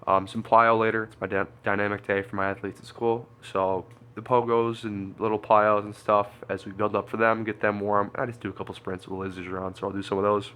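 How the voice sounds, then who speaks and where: Stuffy-nosed man with a bright voice. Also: on the low side, little pitch movement, a little fast, hardly any pauses. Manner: chatty, loose, thinks out loud with fillers. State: congested, stuffy nose. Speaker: strength coach and lifter. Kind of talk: casual training vlog.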